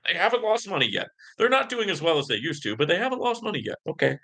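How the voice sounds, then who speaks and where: A man's voice: high voice, big pitch swings, fast talker, bright, forceful tone. A man hosting a live question-and-answer stream, talking in an emphatic, preachy way and often tacking "right?" onto his points. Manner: peppers talk with "right?" and "man". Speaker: livestream host. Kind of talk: live Q and A commentary, ranting.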